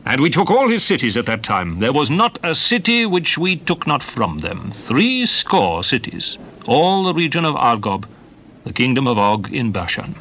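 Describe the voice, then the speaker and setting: Casting: solemn scriptural patriarch-leader, forceful bright tone, lively intonation, declamatory delivery for Bible audio drama. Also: male voice, medium pitch, normal speed, full character voice. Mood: solemn recounting. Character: solemn Old Testament leader recounting wars and journeys. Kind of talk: dramatized Bible reading, archaic English.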